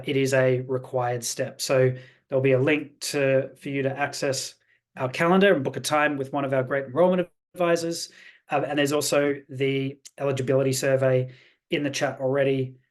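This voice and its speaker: Guy running a webinar on a video call, pretty monotone, polished sales talk with lots of ums. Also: mid-range voice, fairly quick. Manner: polished, salesy, many fillers. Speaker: webinar host, programme representative. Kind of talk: presenting a webinar.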